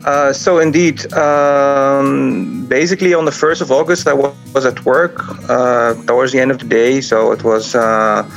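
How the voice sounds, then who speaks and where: Man from Lebanon speaking as a radio guest at an average pitch. He recounts events in a lively, unscripted way, with fillers along the way. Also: a little slow, gravelly, hardly any pauses, soft background music, poor audio. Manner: lively retelling, quoting others. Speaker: guest, volunteer, engineer. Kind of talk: radio interview storytelling.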